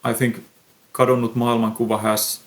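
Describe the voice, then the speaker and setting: A young man with a Finnish accent, a musician answering interview questions in a thoughtful, pausing way. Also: medium pitch, level tone. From Finland. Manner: slow, thoughtful, pausing often. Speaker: musician guest. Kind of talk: podcast interview.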